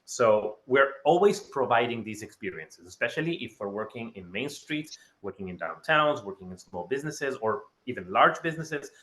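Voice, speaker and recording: Guy from ecuador presenting on a video call, upbeat, repeats words, mid pitch. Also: pushed and bright. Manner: enthusiastic, repeats words for emphasis. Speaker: guest speaker. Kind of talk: webinar presentation.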